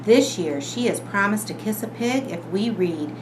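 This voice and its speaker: A woman's voice: mid-range voice, lively intonation, normal speed. A woman on a school's staff reading a children's story aloud. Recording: noisy room ambience.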